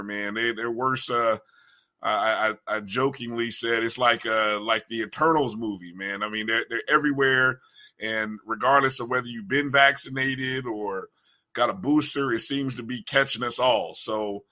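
Hoarse froggy guy hosting a chat show, bright punchy tone, kinda rambly. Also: medium pitch. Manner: rambling, repeats words when starting. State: hoarse from coaching. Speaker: podcast host. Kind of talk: casual chat.

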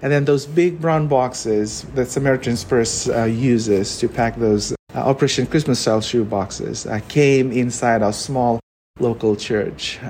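A young man from Fiji with a Fijian accent, a podcast guest telling his story earnestly with many pauses. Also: medium pitch, a little mellow, slight background noise. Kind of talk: personal storytelling.

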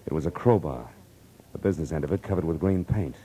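An actor playing a tough, wry private detective in an old radio drama, with a terse, wisecracking delivery. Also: male voice, a bass voice, quick pace, warm tone. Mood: grim, wry narration. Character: hard-boiled, wry male private detective. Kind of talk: 1940s radio drama acting and narration. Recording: noisy old radio recording.

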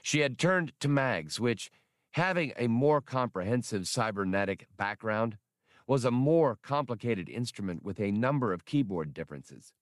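A man's voice: mid-range voice, animated, normal speed, no room sound, clean studio sound. A man reading a science fiction novel as scripted narration. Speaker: audiobook narrator.

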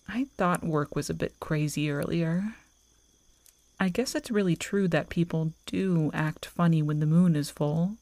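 Slow, mellow, affectionate girlfriend played by a woman. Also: fairly low pitch. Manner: intimate, teasing, slow and cosy. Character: affectionate, playful werewolf girlfriend on a camping trip. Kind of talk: ASMR audio roleplay. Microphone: close microphone, dry.

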